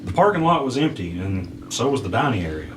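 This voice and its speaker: A man's voice: medium pitch, very animated, a little fast, natural voice. An actor playing a wary, nervous audio editor telling his uneasy first-person story in an audio drama. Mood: tense, wary. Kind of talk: audio drama narration and dialogue. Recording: clearly noisy background.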